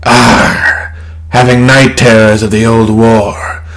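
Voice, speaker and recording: A man voicing a gruff animatronic pirate fox in an audio drama, talking like an old sea dog. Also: medium pitch, flat delivery, slow, a bit bright, poor audio. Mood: gruff, weary. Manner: pirate talk with growled "arr". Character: hostile-looking animatronic pirate fox, gruff, war-haunted.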